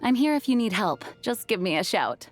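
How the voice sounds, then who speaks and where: A woman's voice: mid-range voice, sing-song, brisk. An actress playing a poised, dutiful female knight commander in a video game, speaking formally and courteously. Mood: kindly. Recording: background music under voice.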